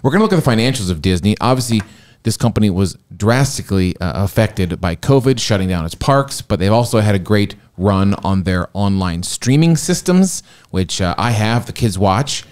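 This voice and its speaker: A middle-aged man with a bassy voice co-hosting a casual finance chat, jokey and teasing. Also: lively intonation, normal speed, darkish tone. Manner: jokey, laughs often, teasing. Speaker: co-host.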